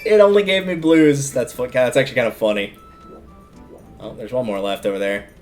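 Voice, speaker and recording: A man's voice: mid-range voice, brisk. Male game streamer, mid-range voice, casual rambling explainer style, light music underneath. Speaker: livestreamer. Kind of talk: casual video game livestream commentary.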